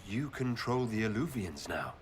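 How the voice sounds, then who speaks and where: An actor playing a resolute, commanding human male leader in a dramatic video game cutscene, speaking in a measured, firm way. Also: on the low side, very animated, a little hiss or hum. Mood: grave, determined. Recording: loud orchestral music behind.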